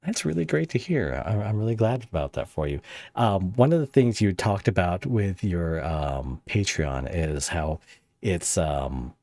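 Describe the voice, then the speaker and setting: Male podcast host, very deep, dry sound, laid-back. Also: expressive, mellow, no echo. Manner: easygoing, affirming with quick "mm-hmm"s. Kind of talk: casual interview chat.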